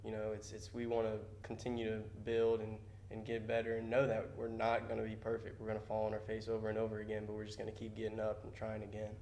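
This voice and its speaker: A man with a fairly low voice speaks as a ballplayer, very flat and even, with almost no rise or fall. He sounds steady and measured, with faint ambience behind him. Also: slight background noise, rough recording. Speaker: baseball player. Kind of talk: interview answer.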